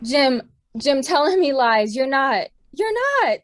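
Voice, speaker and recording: Radio-play wife, stunned and pleading, played by a woman, slow and swooping. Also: high voice, sing-song, fairly bright tone, heavily acted. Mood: distraught. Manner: halting, distraught. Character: bewildered young wife of a burglar. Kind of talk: community theater radio play.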